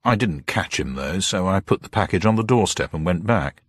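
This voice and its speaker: A man playing a guarded, husky gentleman detective. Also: low-pitched, lively intonation, normal speed, no room sound, studio quality. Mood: guarded, bluffing. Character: amnesiac gentleman detective bluffing carefully under questioning.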